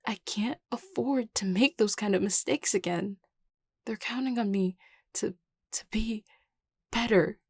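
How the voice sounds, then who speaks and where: A woman voicing an anxious, self-doubting young vampire leader in an audio roleplay, stammering hesitantly and often dropping to a breathy murmur. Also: mid-range voice, unhurried, darkish tone, no echo, studio quality. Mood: guilty, earnest. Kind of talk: intimate audio roleplay acting.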